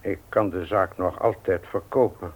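A man's voice: low voice, steady tone, breathy edge. A man acting in a radio play as a stubborn, formal widowed uncle in his seventies who runs the family business. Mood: stiffly resigned. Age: older. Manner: formal, measured, unyielding. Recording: tinny, narrow-band old radio recording.

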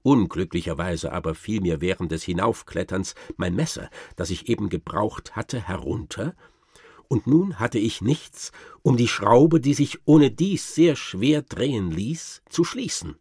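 Husky, deep-voiced boastful baron. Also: male voice, no echo, studio quality. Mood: excited, emphatic. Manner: ornate, deliberate, dryly ironic, expressive. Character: boastful old-time baron telling tall hunting tales. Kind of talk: theatrical first-person storytelling in a radio play.

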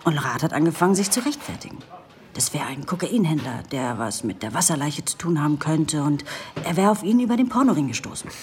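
Low-voiced, cheeky young gossip. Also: female voice, expressive, a little mellow, a little hiss or hum. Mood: gleeful gossip. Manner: brisk storyteller, mimicking gruff quotes. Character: cheeky, gossipy young student working among policemen. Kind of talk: German radio drama acting.

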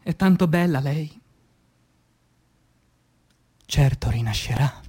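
A man playing an anguished, passionate revolutionary poet in a declamatory radio theatre recitation, with dramatic pauses and swings between hushed and loud delivery. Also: on the high side, expressive, breathy edge, exaggerated voice. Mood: tender, wistful. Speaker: stage actor.

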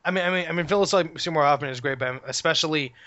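Man, native German speaker, opinionated film chat, bright forceful tone, dull lo-fi sound. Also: on the high side, rapid-fire. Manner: opinionated, emphatic. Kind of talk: casual film review chat. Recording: dull lo-fi podcast audio.

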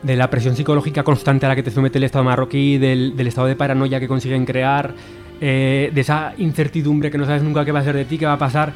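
A man's voice: medium pitch, level tone, fast, darkish tone, faint music behind. A man, an activist guest on a radio interview, speaking earnestly and often repeating words as he thinks.

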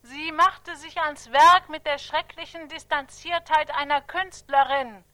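A stern woman chronicler in a radio play, laying out accusations in measured, emphatic statements. Her voice is high and sharp, recorded very dry and close. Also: fairly even intonation, no echo. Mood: cold reporting. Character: stern, accusing female chronicler of a terrorist's life. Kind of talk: stylized radio drama recitation.

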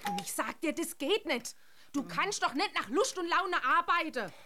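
An actress playing a fed-up wife who scolds insistently, in a radio drama. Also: female voice, very high-pitched, sing-song, normal speed, background noise. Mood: scolding.

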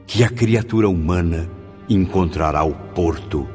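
A man's voice: deep voice, a little slow, darkish tone, faint music behind. An actor playing a grateful, solemn male spirit in a radio drama, speaking in a florid, declamatory way. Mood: sorrowful, solemn. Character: Camilo, grateful, solemn male spirit recalling his past.

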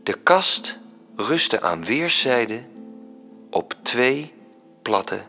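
An actor narrating a radio drama as a storytelling angel reporting events. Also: male voice, mid-range voice, sing-song, very slow, pushed and bright, exaggerated voice. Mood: suspenseful. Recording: music under the voice.

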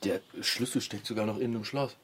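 A man's voice: fairly low pitch, fairly even intonation, a little fast, slight background noise, lightly acted. An actor playing a jovial, teasing husband in his forties, a stressed property developer, in a naturalistic radio drama. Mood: amused disgust. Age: 40s.

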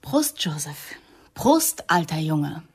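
Husky, defiant young woman. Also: low-pitched, sing-song, slow pace, darkish tone, exaggerated voice. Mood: mocking. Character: defiant, sarcastic young English actress drawn into espionage. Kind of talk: radio drama acting.

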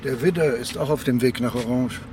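An actor playing a sober, attentive police deputy who reports factually in a radio crime drama. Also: male voice, medium pitch, brisk, background noise, close to an everyday voice.

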